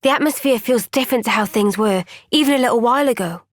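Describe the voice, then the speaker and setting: A woman playing an earnest, gentle young female soldier in a video game cutscene, speaking with measured, sincere resolve. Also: on the high side, dry recording, studio quality, lightly acted. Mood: thoughtful, earnest.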